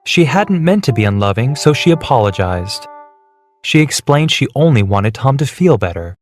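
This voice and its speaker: A man narrating a scripted children's story in a clear, measured way. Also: medium pitch, very animated. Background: music.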